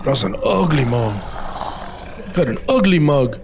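A man's voice: a little high, lively intonation, measured pace, gravelly, background noise. A man giving casual, slangy gameplay commentary, blunt and often repeating short phrases. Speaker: video game streamer.

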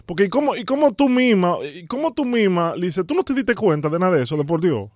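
A man with a Dominican accent on a prank phone call, talking in a plaintive, halting way and repeating his words. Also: high-pitched, sing-song, no echo, clean studio sound. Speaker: prank-call show host. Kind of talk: prank phone call, posing as a wronged husband. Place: indoor home studio. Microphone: close studio microphone.

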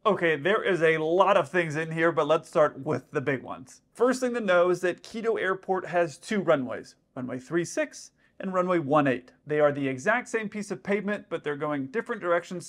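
A man in his thirties, an airline pilot, explaining radio exchanges to camera in a casual, step-by-step way, recorded in a dim indoor room. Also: fairly high pitch. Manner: explanatory, with frequent "let's say" asides.

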